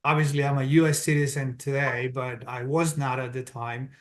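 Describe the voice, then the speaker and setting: A middle-aged man from Colombia with a Colombian Spanish accent, a guest in an interview, explaining things in a steady, businesslike way and laying out his points in order. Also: medium pitch, normal speed. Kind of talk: interview answers, explaining step by step.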